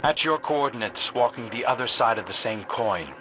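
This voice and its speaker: An actor playing a wry, intense and dryly confident hunter scout in a video game, speaking in a brooding, clipped way. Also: male voice, mid-range voice, animated, normal speed, over music, close to an everyday voice, low-quality recording. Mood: confident, urgent. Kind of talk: video game dramatic dialogue.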